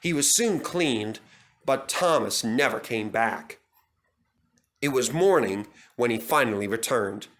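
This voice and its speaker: A man narrating a children's train storybook in an animated storytelling manner. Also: mid-range voice, measured pace.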